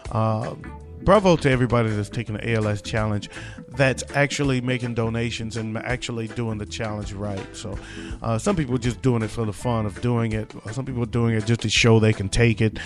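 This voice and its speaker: A man hosting his own podcast, commenting casually on the news, chatty and joking, with lots of "uh" fillers. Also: medium pitch. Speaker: podcast host.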